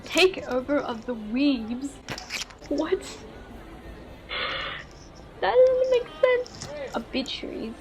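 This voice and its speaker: A woman casually commentating on a game and reading its text aloud, with expressive reactions. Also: high voice, very slow, long pauses, noisy background. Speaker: gaming video host. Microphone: earphone mic.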